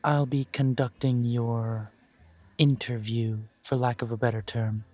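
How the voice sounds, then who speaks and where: A man's voice: medium pitch, level tone, unhurried, lightly acted. A man voicing a courteous elven café owner. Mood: gracious. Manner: polite, formal, gently self-deprecating. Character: courteous elven male coffee shop owner. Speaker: shop owner interviewing a job applicant. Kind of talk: scripted one-sided roleplay audio.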